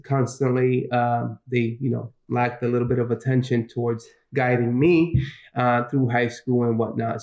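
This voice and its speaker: A middle-aged man from Puerto Rico, a guest on a podcast, telling his story slowly with many pauses and fillers. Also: mid-range voice, darkish tone. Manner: hesitant, many uh fillers, reflective. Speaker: guest, business owner. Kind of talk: interview storytelling. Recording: echoey room.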